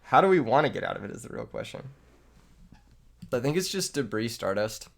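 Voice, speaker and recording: A young man narrates his card game match while recovering from an illness that has taken his voice. He talks casually, making fun of himself and laughing between plays. His pitch sits in a middling range. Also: very animated, brisk, creaky. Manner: joking, self-mocking, laughs often. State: lost voice from illness. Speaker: gaming YouTuber. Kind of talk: live gameplay commentary.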